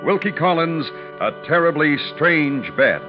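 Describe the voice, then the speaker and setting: A man announcing a program in a portentous, dramatic 1940s radio style with deliberate emphasis. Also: fairly high pitch, lively intonation. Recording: muffled vintage radio recording. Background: music.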